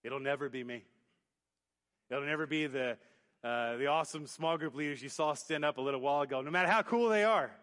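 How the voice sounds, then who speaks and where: A youth pastor preaching a sermon in church, booming then dropping to quiet emphasis. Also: male voice, brisk. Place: church sanctuary. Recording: long hall reverb. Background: hall reverb.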